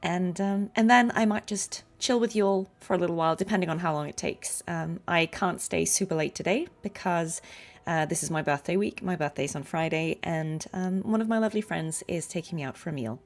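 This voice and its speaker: A woman's voice: fairly low pitch, a little fast. A woman game streamer chatting informally and musing aloud to her live viewers. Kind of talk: casual live stream chat.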